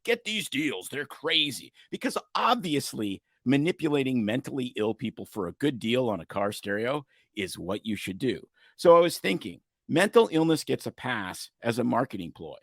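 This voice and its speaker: A man's voice: medium pitch, big pitch swings, normal speed, hardly any pauses, a bit bright, dry recording, studio quality. A man from Canada co-hosting a podcast, telling a story in casual, comedic chat and slipping into mock ad-announcer bits. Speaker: podcast co-host.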